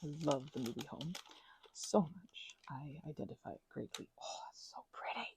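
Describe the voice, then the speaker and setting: A woman making soft-spoken ASMR at home, rambling as she crafts, full of fillers and dipping into whispers now and then. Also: deep voice, normal speed, creaky. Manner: meandering, filler-heavy, drifting into whispers. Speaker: ASMR creator. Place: indoors at home.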